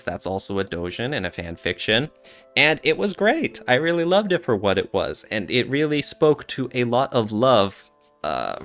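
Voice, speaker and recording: Low-voiced man on a podcast, music behind. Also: a bit bright. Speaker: podcast co-host. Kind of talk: casual podcast discussion.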